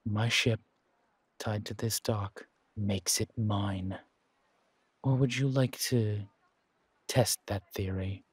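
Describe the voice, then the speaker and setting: A man playing a menacing, wry pirate captain in a roleplay audio, speaking in a taunting, deliberate drawl with dramatic pauses. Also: fairly low pitch, fairly even intonation, breathy edge, dry recording. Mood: suspicious, taunting. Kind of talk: scripted roleplay audio acting.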